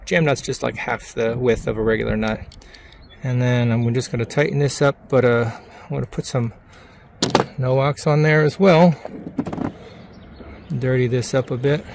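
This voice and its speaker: A man with a gravelly, fry-edged voice at an average pitch walks through a hands-on job. His delivery is casual and plainspoken, like a home DIY video. Clear background noise from around a vehicle sits behind his voice. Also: fairly even intonation, normal speed, pauses often. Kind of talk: casual hands-on how-to narration.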